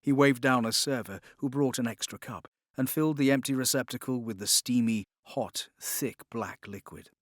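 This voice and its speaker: A man narrating scripted fiction as an audiobook reader, with measured, dramatic, expressive storytelling. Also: medium pitch, dry recording, studio quality.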